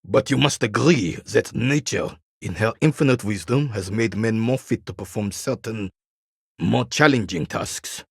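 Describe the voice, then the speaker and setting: An actor playing a bitter, proud royalist war veteran in his eighties in a video game, with a rasping, weathered voice and a haughty, formal military way of talking. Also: fairly low pitch, a little slow, dry recording, clean studio sound, full character voice. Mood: pompous, condescending. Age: 80s. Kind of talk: video game character dialogue.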